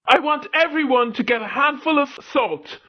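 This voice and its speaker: A woman's voice: high-pitched, animated, normal speed, voice pushed up. A woman voicing a bold male clan leader in a children's story, giving emphatic orders with determination, in slightly muffled sound. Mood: instructing.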